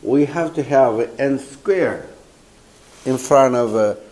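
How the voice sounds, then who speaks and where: An older man, a native Korean speaker with a Korean accent, giving a university lecture, hoarse from a cold and talking haltingly with many fillers, recorded by a distant camera in a lecture room. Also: mid-range voice, a little mellow. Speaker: professor. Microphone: far camera.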